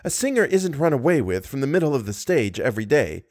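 A man's voice: mid-range voice, big pitch swings, fast talker, darkish tone, dry recording, clean studio sound. A man voicing an exasperated male chorus master who exclaims indignantly.

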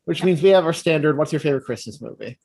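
Man, fairly high voice, podcast host, casual chat. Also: animated, very fast, a little mellow, breathy edge.

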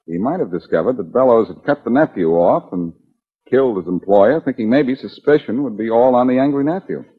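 A man's voice: mid-range voice, animated, fairly quick, husky. A man playing a brash, wisecracking amateur detective in a 1940s radio drama, with a wry, needling and assured manner. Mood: musing. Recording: muffled, dull 1940s radio recording.

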